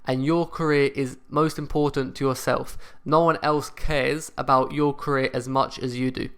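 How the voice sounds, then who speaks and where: A young man hosting a football channel, giving direct, steady motivational advice to camera. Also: mid-range voice, moderate pace, smooth. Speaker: football career coach and channel host.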